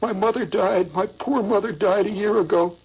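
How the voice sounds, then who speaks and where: A little orphan boy acted out by a man, pitched high and husky. He pleads pitifully and draws out his words in a melodramatic tale, on a muffled old radio recording. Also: full character voice. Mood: sad, beseeching. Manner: plaintive, beseeching, drawn-out. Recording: dull lo-fi old radio broadcast.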